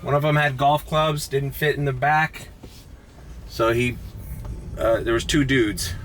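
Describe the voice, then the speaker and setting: Young rideshare driver, groggy early-morning vlog voice, animated and profane, in a car with faint road noise. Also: male voice, mid-range voice, measured pace. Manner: swears casually, animated storytelling. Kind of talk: casual driving vlog. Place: inside a car.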